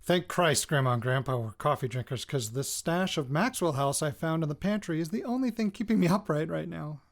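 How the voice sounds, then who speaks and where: A tired, jittery radio reporter keeps an audio diary in a podcast drama. His voice sits a little high for a man, and he talks in a natural, halting way, often interrupting himself. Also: expressive. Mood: groggy, sheepish. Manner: halting, self-interrupting, muttered cursing. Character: weary, jittery male radio reporter recording personal audio notes.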